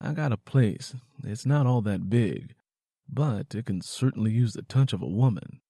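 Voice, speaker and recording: A man's voice: fairly low pitch, lively intonation, normal speed, warm tone, dry recording, studio quality, pitched below the performer's own voice. A man playing a weary, affectionate man with an American Southern accent, laid-back and tender, in an audiobook. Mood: sincere. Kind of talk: audiobook character dialogue.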